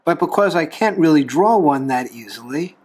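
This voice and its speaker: Lively higher-voiced man teaching drawing. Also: normal speed, husky. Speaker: host of a drawing tutorial show, reverend. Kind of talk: step-by-step drawing instruction. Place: talking from behind a camera while drawing.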